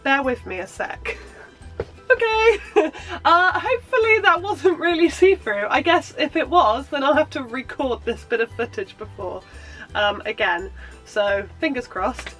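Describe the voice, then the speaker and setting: A woman's voice: high-pitched, lively intonation, measured pace, fairly bright tone, soft background music. A woman sewing vlogger with a blocked nose from a cold, chatting in a bubbly, rambling way with lots of ums. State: congested from a cold. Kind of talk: casual chatty show-and-tell.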